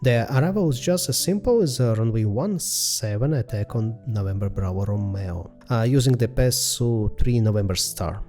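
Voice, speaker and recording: A man with a soft-edged, mellow voice at a middle pitch narrates a flight simulator session. He works through each step methodically and hesitantly, as the host of the video. Faint music plays behind his commentary. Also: expressive, moderate pace, few pauses.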